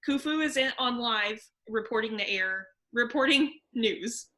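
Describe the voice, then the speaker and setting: A woman's voice: a little high, unhurried, bright, forceful tone. A woman reading stage directions as the narrator of a scripted table read.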